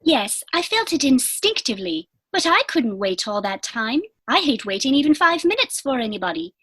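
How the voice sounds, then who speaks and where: A woman performs a girlish young lady in a comic stage play. Her voice is high and very animated. She sounds impatient and self-assured, with prim, emphatic phrasing. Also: normal speed, slightly rough, full character voice. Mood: impatient, coy.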